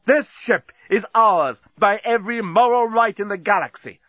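A man voicing a proud, gallant, indignant alien commander in a loud, declamatory audiobook character voice. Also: high-pitched, moderate pace, no room sound, muffled recording, voice pushed up. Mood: indignant, defiant. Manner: loud, declamatory, impassioned speechifying.

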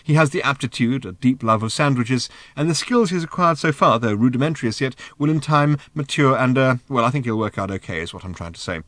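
A man's voice: medium pitch, fast talker, few pauses, a bit bright, dry recording, close to an everyday voice. A man voicing a flustered, mild-mannered ordinary man in an audiobook, protesting and awkwardly polite. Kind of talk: audiobook character voice.

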